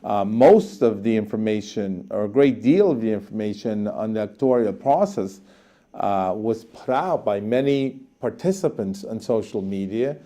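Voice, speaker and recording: A man speaking as an interview guest in a TV studio, giving earnest, deliberate answers with halting pauses and repeated words for emphasis. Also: fairly low pitch, expressive, warm tone. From Guyana.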